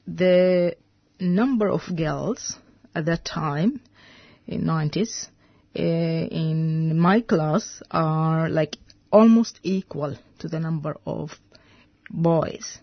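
Low, slow-spoken Sudanese woman. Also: no room sound, lo-fi sound. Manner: halting, searching for words. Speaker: interview guest, veterinarian. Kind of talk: radio interview.

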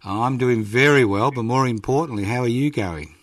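A man's voice: mid-range voice, moderate pace, no echo, clean studio sound. A man, hosting a casual radio interview in a playful, teasing way. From Australia. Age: 60s. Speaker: radio host.

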